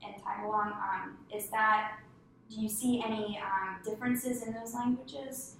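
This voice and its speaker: A woman conducting a clinical case-history interview, asking polite, halting questions with many fillers. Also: mid-range voice, steady tone, a bit bright. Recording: echoey room, distant mic, faint noise.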